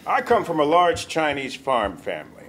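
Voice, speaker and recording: A man's voice: on the high side, wildly swooping pitch, normal speed, very noisy. A man playing a brazen, materialistic quack doctor in a comedy script table read.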